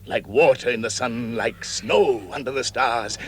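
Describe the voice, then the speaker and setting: An actor playing an earnest, commanding dwarf leader with a longing for treasure, in theatrical vintage radio style. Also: male voice, medium pitch, unhurried, natural voice. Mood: reverent longing. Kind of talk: vintage radio drama acting. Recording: very noisy, crowded vintage radio drama.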